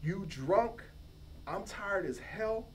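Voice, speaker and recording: A man's voice: high voice, lively intonation, slow pace. A man voicing a big, blunt, weary laid-off buddy in a slangy, scolding way during a novel reading. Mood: weary, impatient. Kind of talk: novel read aloud. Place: bookstore reading. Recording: distant mic, faint background noise.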